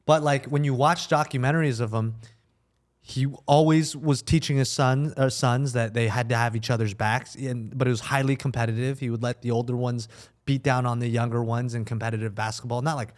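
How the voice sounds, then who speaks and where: Young American man, mid-pitched voice, relaxed podcast-style conversation, thoughtful explainer. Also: brisk. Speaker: podcast participant. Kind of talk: casual group conversation.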